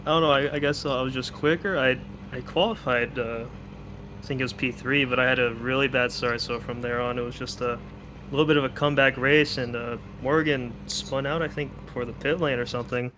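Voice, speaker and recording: A man's voice: mid-range voice, steady tone, brisk, background noise. A man talking as a third-place driver in a post-race interview, hesitant with many fillers.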